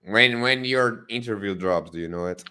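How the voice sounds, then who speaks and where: A man's voice: lowish voice, normal speed, bright, forceful tone, smooth. A man in his twenties hosting a show over a headset from home, teasing his co-host in casual chat. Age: 20s. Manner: teasing, playful. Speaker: podcast host. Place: home room. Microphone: headset on video call.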